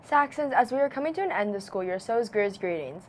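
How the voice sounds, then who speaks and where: A teenage girl reads school announcements at a studio desk, bright and brisk, rattling through dates and events at a quick clip. A faint hiss of room ambience sits under her voice. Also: medium pitch, expressive. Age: teens. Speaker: student news anchor.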